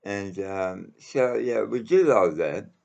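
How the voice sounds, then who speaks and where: A man with a very low voice recounts a personal story casually. His delivery is unhurried and halting, rambling along with frequent pauses. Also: lively intonation, vocal fry, darkish tone, muffled recording.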